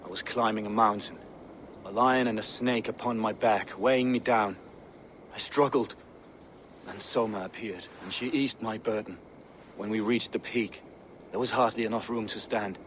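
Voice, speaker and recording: A man as a deep, solemn Viking mystic. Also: steady tone, a bit bright, a little hiss or hum, lightly acted. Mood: haunted, reverent. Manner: solemn, poetic, deliberate. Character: intense, devout Viking mystic seer, humbly loyal. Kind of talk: dramatic video game cutscene dialogue.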